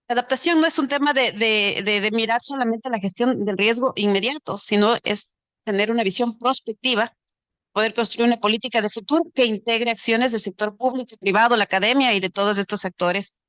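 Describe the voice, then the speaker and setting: A woman from Ecuador talks earnestly on a conference panel, now and then restarting her sentences. Her voice sits fairly high. The sound is dry and close to the mic. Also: fairly quick, fairly bright tone, dry recording. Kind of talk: panel discussion on climate finance. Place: conference pavilion.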